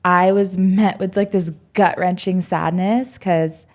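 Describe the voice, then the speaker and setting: A woman's voice: lowish voice, fairly even intonation, slow pace. A woman in her twenties, a podcast guest telling her story reflectively, with many "um"s and "like"s. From United States. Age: 20s. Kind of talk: casual podcast interview.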